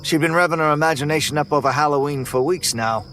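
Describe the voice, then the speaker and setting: A man telling a first-person horror story in a tense, measured way. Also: fairly high pitch, fairly bright tone, faint music behind. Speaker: narrator.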